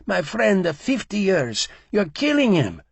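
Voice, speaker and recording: A man's voice: high voice, wildly swooping pitch, unhurried, vocal fry, slightly rough, dry recording, dull audio, voice pushed up, exaggerated voice. A man voicing a panicked old barber who screams and blubbers in broken English. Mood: frantic. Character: panicked old male barber, heavily accented.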